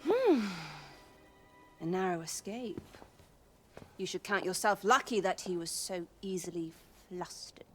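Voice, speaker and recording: A woman's voice: fairly low pitch, big pitch swings, soft background music. An actress playing a poised, secretive noblewoman in a video game, with coy, haughty, formal speech. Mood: cool, dismissive. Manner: coy, haughty, measured, archaic formal phrasing. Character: poised, sly, teasing noblewoman, secretive and imperious. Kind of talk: video game cutscene acting.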